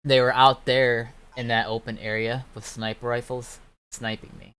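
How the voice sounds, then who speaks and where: A man's voice: medium pitch, little pitch movement, normal speed, a bit bright, smooth. A man casually telling a rambling, sweary anecdote over voice chat during a game stream. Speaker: game server owner and admin. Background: music.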